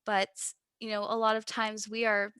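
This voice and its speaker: A young woman with a Texas accent, a musician chatting as a guest in a relaxed interview, bubbly and giggly. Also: medium pitch, moderate pace, clear, resonant tone, no room sound, clean studio sound. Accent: Texan. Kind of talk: casual interview chat.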